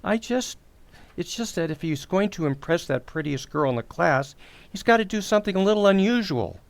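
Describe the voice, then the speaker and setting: A man's voice: high-pitched, expressive, brisk, vocal fry. A man acting a well-meaning, opinionated suburban husband and father in an old-time radio sitcom, in a hesitant, folksy manner. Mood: mildly defensive. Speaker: actor in community radio theater.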